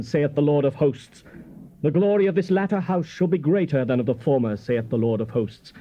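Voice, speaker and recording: An actor playing a solemn, commanding Old Testament prophet in a stately, declamatory scripture drama. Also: male voice, fairly high pitch, mellow, close to an everyday voice. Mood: grand, proclaiming. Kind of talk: dramatized King James scripture reading. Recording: dull, noisy old cassette tape.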